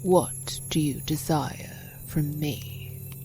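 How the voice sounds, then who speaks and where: A woman voicing an ancient, wise female dragon in an audio drama, speaking slowly and gravely, partly in a whisper. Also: low voice, warm tone, heavily acted. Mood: solemn.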